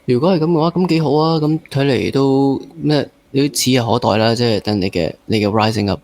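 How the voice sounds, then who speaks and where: A man with a Hong Kong accent hosts a relaxed, conversational interview. His voice has a middle pitch for a man, and he often repeats or rewords a phrase while working out his point. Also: fairly quick, dry recording. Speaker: interview host.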